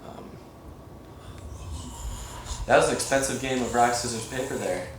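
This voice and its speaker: A man's voice: mid-range voice, normal speed. A young man on a road trip, chatting casually with a wry, easygoing manner. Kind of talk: casual chat. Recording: echoey, noisy street audio.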